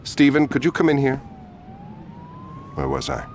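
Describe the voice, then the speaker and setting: A man's voice: fairly high pitch, steady tone, measured pace, a little mellow, slight background noise. An actor playing a smug, ruthless older male politician in a video game, pompous and politely menacing. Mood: pompous, cold.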